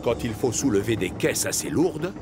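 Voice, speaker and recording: A man narrating a children's cartoon as a friendly, expressive storyteller. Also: medium pitch, normal speed, over music, natural voice. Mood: storytelling.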